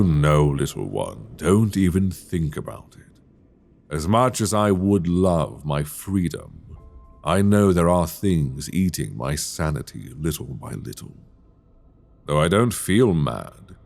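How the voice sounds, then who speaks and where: A man's voice: rumbling low, sing-song, gravelly, faint music behind, heavily acted. A man playing an imprisoned mad dragon king, seductive, obsessive and menacing, in an intimate, teasing, theatrical ASMR roleplay delivered close to the mic. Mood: brooding. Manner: theatrical, teasing, drawn-out delivery with soft asides. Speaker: audio roleplay voice actor. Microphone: close binaural microphone.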